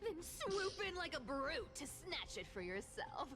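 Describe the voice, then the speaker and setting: Cocky cat girl thief for a game, super swoopy n theatrical, slow teasing delivery, loud music behind. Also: high voice, very animated, full character voice. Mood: mocking, gloating. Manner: theatrical teasing, sudden whispers and giggles. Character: playful, taunting female cat thief, gleefully cocky. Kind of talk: video game voice acting.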